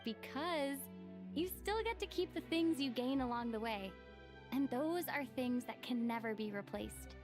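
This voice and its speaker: A woman playing an earnest, caring, brisk young woman in video game dialogue, with music in the background. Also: high voice. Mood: reassuring.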